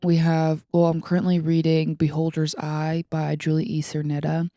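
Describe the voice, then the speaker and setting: A woman's voice: low voice, completely flat delivery, moderate pace, dark tone, dry recording, studio quality. A woman in her twenties chatting casually about books as a podcast co-host, in an informal way peppered with "like". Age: 20s.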